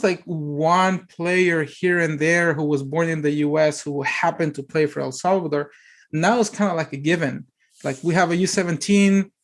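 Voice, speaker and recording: Rambling podcast host, higher-pitched man. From United States. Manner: rambling, many fillers and restarts. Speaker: podcast host, former player agent. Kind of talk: casual interview conversation.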